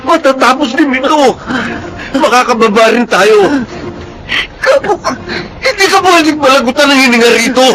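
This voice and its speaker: An actor playing an earnest, emotional soldier and family man in a Filipino radio drama, with a husky Filipino-accented voice. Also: extremely high pitch, animated, moderate pace, pauses often, heavy background noise. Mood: panicked, anguished. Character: soldier, young family man, devoted to comrade and children.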